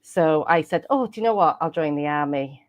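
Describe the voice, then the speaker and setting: A woman's voice: low voice, expressive, normal speed, no echo. A Welsh woman, a native Welsh speaker, chatting as a podcast guest, rambling and laughing often. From Wales. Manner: giggly, rambling with frequent laughs and restarts. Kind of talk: casual interview chat.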